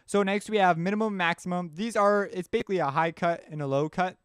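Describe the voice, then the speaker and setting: A man hosting a casual music production tutorial, explaining step by step as he demonstrates the software. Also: sits high, quick pace, smooth, no echo, studio quality.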